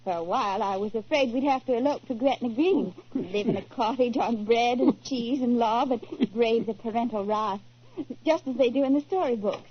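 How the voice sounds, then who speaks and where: An actress playing a spirited, romantic young fiancée in a 1940s radio drama, heard on an old recording. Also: female voice, fairly high pitch, moderate pace, husky, muffled recording. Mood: bright, wistful. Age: young adult.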